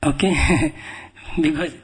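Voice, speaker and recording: Slow-paced Indian man giving a devotional talk. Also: on the high side, fairly even intonation, low-quality recording. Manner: halting, with frequent throat clearing; humble, deferential. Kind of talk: devotional scripture lecture to a congregation. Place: speaking at a temple.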